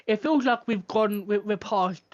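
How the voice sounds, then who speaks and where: A man's voice: sits high, a little slow, muffled recording. A man co-hosting a podcast in casual chat, tentatively searching for his words. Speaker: podcast co-host.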